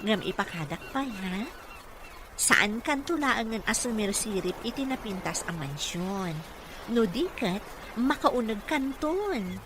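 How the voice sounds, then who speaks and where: An actress plays a dutiful, caring Filipino caregiver. She has a medium-pitched female voice in radio drama style, recorded with clear background noise. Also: animated, measured pace, natural voice. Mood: instructing. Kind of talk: Ilocano radio drama acting. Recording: noisy ambient background.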